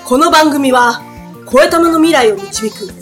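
Very high-pitched woman hosting radio chat. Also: animated, measured pace. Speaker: radio host. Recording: light music bed under the voice. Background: background music.

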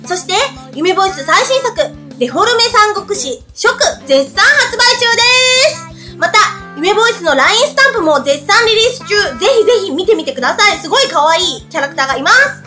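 A woman hosting a radio talk show, chatting and reading announcements in a bubbly, excitable manner. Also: very high-pitched, brisk, over music.

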